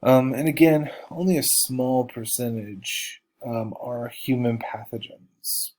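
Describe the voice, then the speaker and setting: A man with a stuffy nose from allergies, slowly lecturing biology students over slides with many ums and uhs. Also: mid-range voice, fairly even intonation, darkish tone. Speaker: college biology instructor.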